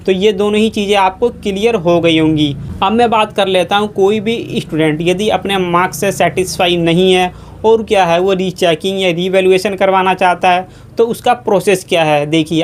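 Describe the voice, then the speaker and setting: A man giving a methodical, repetitive step-by-step explainer to students as an educational YouTuber. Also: high voice.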